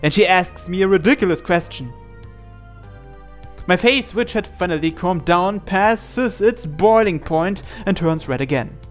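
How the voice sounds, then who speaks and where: Higher-voiced man reading game narration aloud. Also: lively intonation, unhurried, faint music behind. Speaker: reader narrating a visual novel playthrough.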